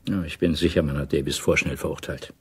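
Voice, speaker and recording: Husky, guarded intelligence officer. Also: male voice, very deep voice, steady tone, fast talker, darkish tone, full character voice. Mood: guarded, probing. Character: guarded middle-aged male intelligence officer, quietly insistent. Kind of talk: 1980s German radio play acting.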